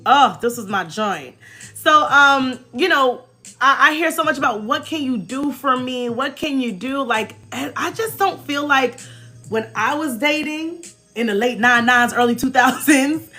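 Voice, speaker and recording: An American woman in her forties hosting a casual, chatty livestream with animated, emphatic delivery and informal slang. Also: high-pitched. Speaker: livestream host. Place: small indoor room, backdrop behind. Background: faint music.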